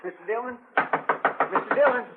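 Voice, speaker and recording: An actor playing an anxious, deferential deputy in a 1950s radio western, hurried and slightly stammering. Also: male voice, very high voice, narrow, tinny audio. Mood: urgent. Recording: noisy, band-limited old radio broadcast.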